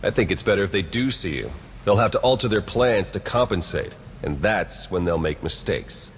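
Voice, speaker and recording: A man's voice: sits low, lively intonation, normal speed, a little hiss or hum. A man playing a wry, pragmatic spy agent in a video game, casual and matter-of-fact. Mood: brisk, businesslike. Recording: loud game music underneath. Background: music.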